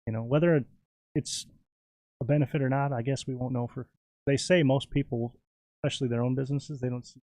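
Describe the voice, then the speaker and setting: A man's voice: medium pitch, steady tone, fairly quick, a little mellow, dry recording. A man from Minnesota, a podcast guest, chatting in a laid-back, rambling way with lots of fillers. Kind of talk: casual podcast chat.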